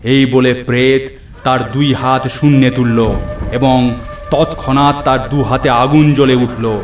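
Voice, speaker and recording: A man's voice: mid-range voice, steady tone, slow. A man narrating a scripted horror cartoon story in a dramatic storytelling style. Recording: echoey, clear background music. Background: music.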